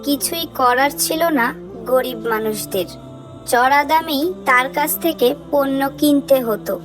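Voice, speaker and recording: A woman narrator with a high-pitched voice tells a scripted children's cartoon tale in storybook style. Music is clearly audible behind her. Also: measured pace.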